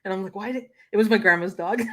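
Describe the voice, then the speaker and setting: A woman co-hosting a casual toy-collecting livestream, chatty and quick to laugh, with plenty of fillers. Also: mid-range voice, fairly bright tone. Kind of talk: casual chat.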